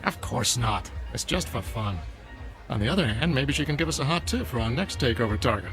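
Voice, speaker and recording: Actor as a senior businessman, genial, teasing, urbane, average pitch, radio drama, background music. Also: animated, fairly quick, natural voice. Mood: amused, coaxing. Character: genial, jovial senior corporate executive, gently teasing man.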